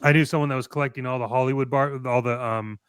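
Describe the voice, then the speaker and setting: A man's voice: medium pitch, steady tone, fast talker, a bit bright, clear, resonant tone, dry recording, clean studio sound. A man co-hosting a casual talk show about toy collecting, speaking in a blunt, opinionated way.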